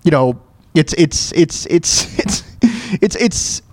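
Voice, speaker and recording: A man with a notably high voice talks casually as a solo show host. He stammers through repeated false starts, sounding plaintive and self-deprecating. The sound is very dry, with no room echo. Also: animated, slow pace, a little mellow. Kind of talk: casual personal rant and storytelling.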